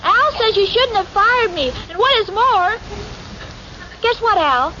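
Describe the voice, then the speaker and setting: A dull, very noisy old radio recording of a sweet, muddle-headed girl. Her voice is extremely high and girlish. She speaks with earnest, literal-minded innocence in 1940s comedy style. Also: lively intonation, measured pace. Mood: nervous, parroting. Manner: naive, literal-minded, plaintive. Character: dim, sweet, muddle-headed young woman, eager to please.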